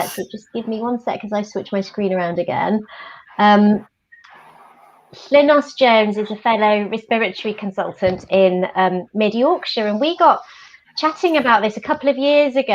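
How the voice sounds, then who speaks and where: A woman's voice: medium pitch, dull audio. A woman chairing a panel who talks in a chatty way, hesitating with fillers as she introduces speakers. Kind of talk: panel moderating.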